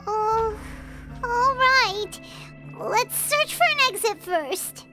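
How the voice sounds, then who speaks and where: Tiny earnest fairy, squeaky high childlike voice, slow lines, video game companion. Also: female voice, animated, loud music behind the voice, heavily acted.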